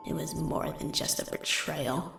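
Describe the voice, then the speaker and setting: A woman's voice: low voice, steady tone, unhurried, vocal fry, a bit bright, pitched below the performer's own voice, heavily acted. A woman voicing a bitter, unhinged cartoon pony mare in a theatrical, sarcastic way. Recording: roomy echo under music.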